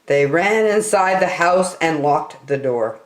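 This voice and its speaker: A woman reading a children's picture book aloud in an expressive, deliberate manner as a story time reader. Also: sits low, roomy sound. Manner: animated, deliberate.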